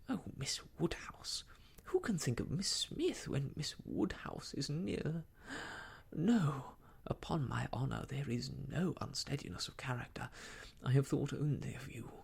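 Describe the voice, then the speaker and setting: A man voicing an ardent, vain young gentleman in a classic novel audiobook, effusive, protesting and self-important. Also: medium pitch, gravelly, breathy edge, heavily acted.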